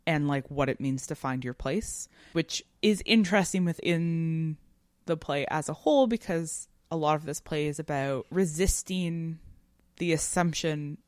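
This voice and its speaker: A woman with a notably low voice speaks as a podcast co-host in a very dry acoustic. She reasons analytically and conversationally, thinking aloud with frequent "like" and checking in with "right?". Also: measured pace, a little mellow, dry recording. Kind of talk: conversational literary discussion.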